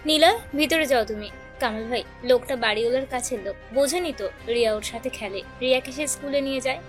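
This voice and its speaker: A woman's voice: sits high, fast talker, soft background music, close to an everyday voice. A woman voicing an anxious mother in an audio story, hurriedly placating. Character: anxious mother pleading with a thug.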